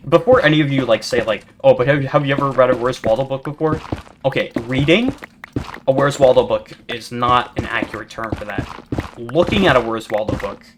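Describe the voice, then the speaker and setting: A man giving rambling, monotone commentary over gameplay, full of fillers and restarts, as a gaming video creator. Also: medium pitch, brisk. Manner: rambling, self-described yapper with many fillers and restarts. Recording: steady background noise.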